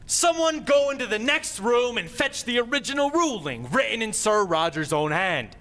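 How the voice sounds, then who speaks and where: A man playing a pompous traditionalist townsman in a radio play, creaky and forceful, with archaic oratory. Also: extremely high pitch, sing-song, moderate pace, heavily acted. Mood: indignant. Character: indignant, pompous traditionalist townsman guarding old laws. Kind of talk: old-time radio drama acting.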